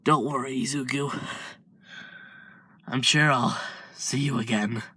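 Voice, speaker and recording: A weak older brother speaks in a soft, faint, gentle voice, voiced by a man. His delivery is slow and the sound is dry. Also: medium pitch, fairly even intonation, slightly rough, dry recording, heavily acted. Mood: fading. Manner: soft, quiet and fading.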